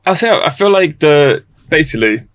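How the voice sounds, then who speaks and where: Man, twenties, from Croatia, podcast guest, halting, reflective, dry close sound. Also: a little high, animated, dry recording. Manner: halting, restarts often, thoughtful. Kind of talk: reflective casual conversation.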